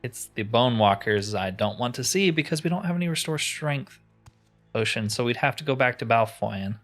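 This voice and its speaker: A man's voice: medium pitch, animated, normal speed, fairly bright tone, faint music behind. A man giving casual let's play commentary, chatty and informal as he thinks aloud. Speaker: gaming channel host.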